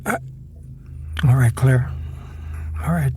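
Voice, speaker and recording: A man playing a patient, doubtful doctor in an audio drama, speaking in a gentle, hesitant way. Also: mid-range voice, takes it slowly, slight background noise, lightly acted. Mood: patient, soothing.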